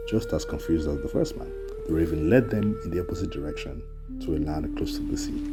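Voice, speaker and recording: A man narrating a scripted folktale as a storyteller, in a measured way with dramatic pauses. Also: sits low. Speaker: storyteller host. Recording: music under the voice. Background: background music.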